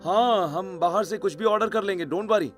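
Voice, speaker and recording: A man's voice: sits high, normal speed, soft background music, voice pushed up, lightly acted. A man voicing a caring, easygoing young husband in cartoon story dialogue, casual and reassuring.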